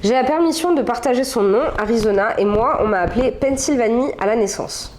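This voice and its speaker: A woman's voice: on the high side, a little fast, darkish tone, slight background noise. A young woman co-hosting a casual chat show, talking freely and reading a story aloud with small slips and asides. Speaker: podcast co-host reading stories.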